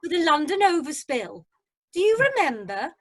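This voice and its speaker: A woman's voice: sits high, sing-song, normal speed. A woman playing a chatty, nosy cruise passenger who loves gossip, in an amateur radio drama. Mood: gossipy, amused. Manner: gossipy, chirpy.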